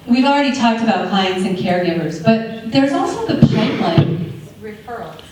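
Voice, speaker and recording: A woman presenting at a conference workshop with a loud voice. She takes audience questions and laughs often. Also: on the high side, very animated, moderate pace. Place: conference room. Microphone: speaking without a mic. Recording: echoey room, far from mic, noisy.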